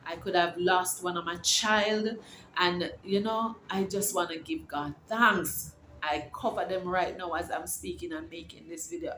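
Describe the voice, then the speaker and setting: A woman's voice: fairly low pitch, a little hiss or hum. A middle-aged woman vlogging from home, talking in a casual, encouraging, preachy way and rambling with restarts. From Jamaica. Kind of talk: casual faith-filled vlog. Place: her home. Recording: echoey room with faint ambience.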